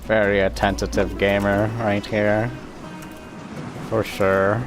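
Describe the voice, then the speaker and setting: A man streaming a game with casual commentary, halting and searching for words in a self-mocking way. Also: on the low side, level tone, music in the background. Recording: clearly noisy, game sound behind.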